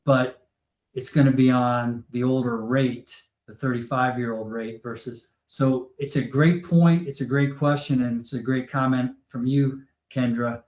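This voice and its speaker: A man's voice: mid-range voice, measured pace. Guy hosting a panel over a video call, easygoing, lots of ums, roomy lo-fi sound. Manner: relaxed, thinks aloud with fillers and repeats. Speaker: webinar moderator. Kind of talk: conversational panel discussion. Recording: roomy, dull lo-fi audio.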